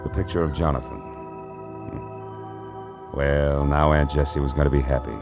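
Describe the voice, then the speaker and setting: An actor playing a wry, world-weary but kindly private detective in a hard-boiled 1940s radio drama, with assured, clipped phrasing. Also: male voice, a bass voice, flat delivery, measured pace, highs cut off, music in the background. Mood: weary, reflective. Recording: vintage 1940s radio broadcast.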